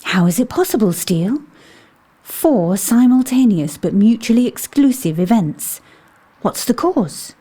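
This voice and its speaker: A woman acting in an audio drama as a calm, intuitive investigator with a polite, measured, composed way of speaking. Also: fairly high pitch, husky. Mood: reasoning.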